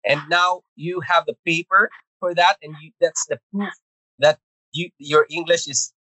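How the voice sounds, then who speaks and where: A man's voice: fairly high pitch, steady tone, unhurried, pushed and bright, dry recording, rough recording. A middle-aged Filipino man with a Filipino accent, a podcast guest talking casually and hesitantly, with many fillers, restarts and easy laughs. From Philippines. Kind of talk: casual conversational interview.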